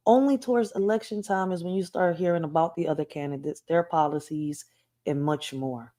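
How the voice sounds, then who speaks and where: Host, woman from Puerto Rico, Philadelphia accent, lowish voice, rambling casual chatter full of "right?". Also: a little fast, mellow. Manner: rambling, says "right?" often. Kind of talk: casual commentary.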